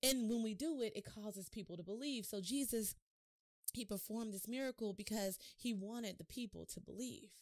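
Expressive woman host preaching, dry sound. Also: medium pitch, moderate pace, dry recording. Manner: expressive, preacherly, rhetorical questions to listeners; animated hand gestures while talking. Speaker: podcast host. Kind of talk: devotional podcast preaching. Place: home office studio, indoors.

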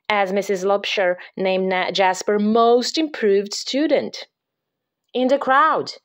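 A woman reading a picture book aloud to children, dramatic and expressive, dropping into hushed whispers at the creepy parts. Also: medium pitch. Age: adult. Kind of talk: expressive read-aloud storytelling.

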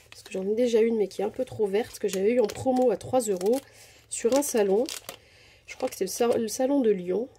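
A woman's voice: on the high side, mellow, a little hiss or hum. A woman casually narrating a craft supply haul at her table, chatty and hesitant with many fillers. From Portuguese descent. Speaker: craft vlogger. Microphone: camera over table, hands only.

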